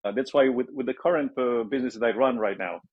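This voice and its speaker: A man's voice: medium pitch, fast talker, husky, no room sound, phone-quality sound. A man in his forties from Romania with a Romanian accent, answering interview questions hesitantly with many fillers and restarts. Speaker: guest entrepreneur.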